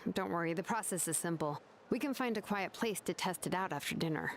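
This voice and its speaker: An actress voicing a calm, matter-of-fact woman engraver in a game, over loud music. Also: fairly low pitch, fast, very noisy, close to an everyday voice. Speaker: name engraver.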